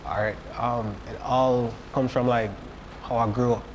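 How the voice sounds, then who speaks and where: A man's voice: medium pitch, steady tone, measured pace, crowd noise, poor audio. A young man, a hip hop artist, whose accent mixes American and Jamaican patois, talking in a laid-back way with many fillers. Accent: American mixed with Jamaican patois.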